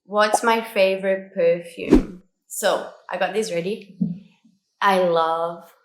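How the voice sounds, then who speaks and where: A woman's voice: mid-range voice, fairly even intonation, slow pace. A woman in her twenties from Brazil with an Australian accent and Portuguese as her first language, chatting casually and upbeat to camera as a vlogger answering questions. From Brazil, moved to Australia at twelve. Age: 20s. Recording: echoey room.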